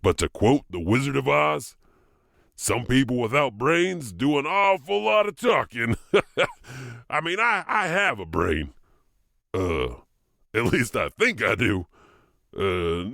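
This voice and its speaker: A man's voice: mid-range voice, creaky, a bit bright, no echo, studio quality. A man hosting and narrating spooky legends in a theatrical, tongue-in-cheek scripted storytelling style. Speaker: host and narrator of horror anthology podcast.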